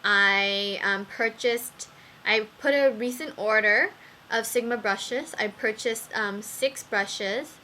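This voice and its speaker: Slow, bright-voiced young woman, strained voice. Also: on the high side, a little hiss or hum. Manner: slow, with many ums and fillers. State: losing her voice. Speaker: beauty vlogger. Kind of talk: casual product review.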